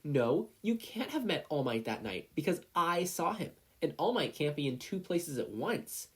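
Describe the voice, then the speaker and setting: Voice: mid-range voice, sing-song, normal speed, breathy edge, voice pushed up. A story narrator voicing a brash, angry preschool boy, scornful and bragging. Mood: dismissive, bragging. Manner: shouty, scornful, indignant.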